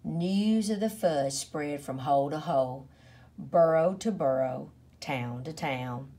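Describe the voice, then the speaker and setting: A woman reading a children's picture book aloud in a dramatic, expressive way, with deliberate and playful emphasis. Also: low voice. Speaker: story reader.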